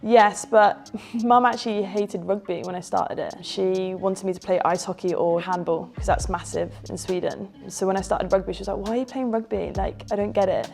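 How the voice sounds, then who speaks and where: A woman's voice: medium pitch, steady tone, moderate pace, creaky, few pauses, darkish tone. A woman, a guest athlete chatting in a hair salon, upbeat and laughing easily. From London. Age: 20s. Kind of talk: casual chat.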